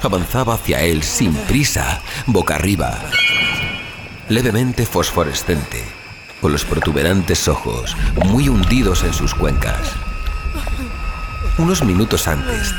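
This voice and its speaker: A man's voice: deep voice, big pitch swings, unhurried. A man narrating a scripted horror story for an audio drama in a dramatic style. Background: loud music.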